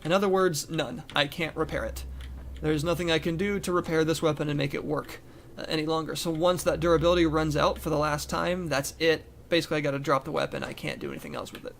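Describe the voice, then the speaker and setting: A man with a slightly raised voice talks through a game review in a loose, rambling way. His voice sounds a little raspy and worn, as if strained from talking. A faint hiss sits under the home mic recording. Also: on the high side, steady tone, fast talker. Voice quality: rambling, with restarts and fillers. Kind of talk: casual gameplay commentary.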